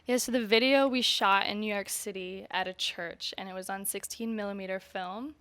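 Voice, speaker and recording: A woman's voice: medium pitch, fairly even intonation, moderate pace, fairly bright tone. A woman musician talking casually in an interview, informal and conversational, often saying "like" and "kinda". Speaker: musician and singer being interviewed.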